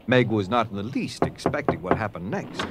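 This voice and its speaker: A man's voice: on the low side, very animated, moderate pace, heavy background noise. A man reading scripted narration for an audio drama, telling the story dramatically.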